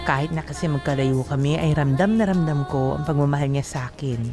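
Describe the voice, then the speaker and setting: A woman's voice: deep voice, fairly even intonation, brisk. A woman narrating in first person as a young woman recalling her romance, reflective and wistful. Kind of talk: dramatic storytelling narration. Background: background music.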